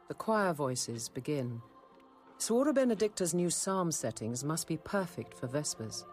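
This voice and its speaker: An actress playing a composed, dryly witty convent apothecary nun in a radio drama, measured and articulate. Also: female voice, on the low side, darkish tone. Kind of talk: radio drama acting and narration.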